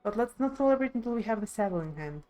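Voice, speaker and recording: A woman's voice: mid-range voice, lively intonation, fast, dark tone, soft background music. A woman reading video game dialogue aloud expressively on a live stream.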